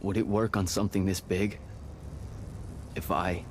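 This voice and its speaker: An actor playing a scrappy, irreverent young battlemage hero in a video game, casual and slangy. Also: male voice, low-pitched, little pitch movement, measured pace. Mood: uneasy, subdued. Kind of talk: naturalistic video game acting. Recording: noisy battle ambience behind the voice.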